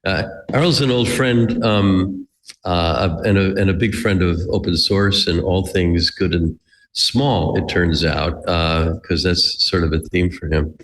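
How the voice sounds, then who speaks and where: A man hosting an interview over a video call, with a low voice. He talks in a halting way, restarting and repeating words. His voice carries a long reverb tail. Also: fairly bright tone, a little hiss or hum. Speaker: host.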